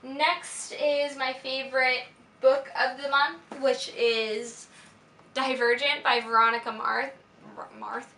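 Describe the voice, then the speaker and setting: A young American woman speaks in a fairly high, bright voice with a slight rasp. She chats casually like a lifestyle vlogger, gushing and stressing her points. The sound is echoey, as if in a room at home. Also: fairly even intonation, takes it slowly. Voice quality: slightly raspy. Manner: gushing, informal, emphatic. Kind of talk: casual chatty product favorites video. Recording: roomy echo, faint background noise.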